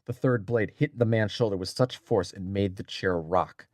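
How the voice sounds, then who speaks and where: Male narrator, lowish register, dry sound, deliberate dramatic audiobook storytelling. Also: darkish tone, no room sound.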